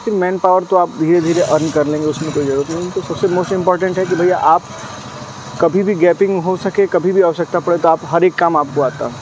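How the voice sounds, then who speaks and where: A man's voice: fairly high pitch, fairly even intonation, a little fast, background noise. A man in his thirties, outdoors at night beside a delivery van, explaining a dairy business at length with frequent "uh" fillers and English business terms. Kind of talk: casual interview answers.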